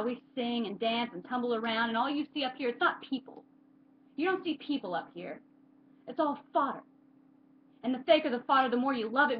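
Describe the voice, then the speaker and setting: A woman's voice: high voice, dull audio, slight background noise, voice pushed up. A woman performing a dramatic monologue as a bitter, desperate contestant, accusing, scornful and impassioned toward a watching audience.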